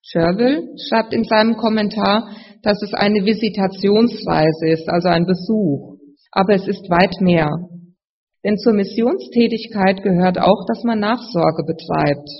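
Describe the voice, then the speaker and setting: A preacher with a slight cold and a husky, gravelly voice, giving a sermon in a measured, explanatory way to a congregation. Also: medium pitch. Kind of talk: sermon with scripture reading. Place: large reverberant room, live address. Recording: muffled, long hall reverb.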